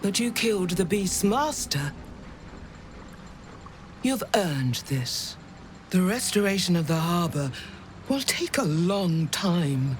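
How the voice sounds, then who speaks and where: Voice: mid-range voice, sing-song, slow pace, pauses often, a little hiss or hum. A solemn sea priestess. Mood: grave. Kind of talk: video game voice acting.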